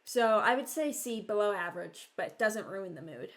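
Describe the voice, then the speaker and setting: A young woman talks casually to her viewers in a medium-pitched voice. She rambles and thinks aloud, often circling back hesitantly before reaching her point. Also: lively intonation, moderate pace, a bit bright. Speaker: video creator. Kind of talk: casual reaction chat.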